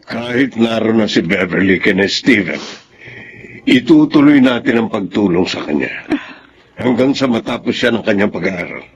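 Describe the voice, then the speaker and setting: An actor playing a calm, protective middle-aged father in a Filipino radio drama. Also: fairly low pitch, normal speed, natural voice. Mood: grave, resolved. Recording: dull, noisy radio recording.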